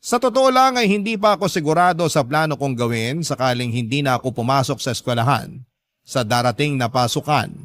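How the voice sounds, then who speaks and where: A man's voice: medium pitch, no echo, close to an everyday voice. An actor playing a hurt, earnest young Filipino man narrating his life in a radio drama. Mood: aggrieved.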